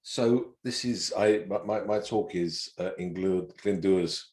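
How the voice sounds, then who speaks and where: Low-voiced, halting older male lecturer, echoey room. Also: a little mellow. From not from Wales. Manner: hesitant, stammering delivery with repeated words. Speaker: professor giving a lecture. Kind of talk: informal academic presentation. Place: indoor room on a video call. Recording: roomy, echoing webinar audio.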